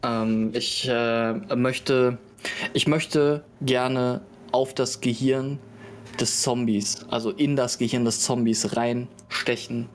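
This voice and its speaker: A man playing a tabletop role-playing game, describing his character's moves in a hesitant, halting way. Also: mid-range voice, fairly even intonation, slight background noise. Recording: faint music behind the voice. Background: faint music.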